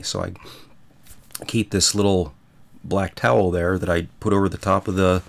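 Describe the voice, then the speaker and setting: A man hosting his show with a rough, phlegmy voice, telling a rambling, self-mocking story in a folksy, casual way. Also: sits low. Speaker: podcast host.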